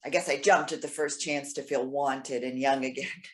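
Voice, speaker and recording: A woman's voice: extremely low pitch, steady tone, normal speed. A woman playing a lonely, stoic elderly woman in a staged play reading. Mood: sheepish, confessing.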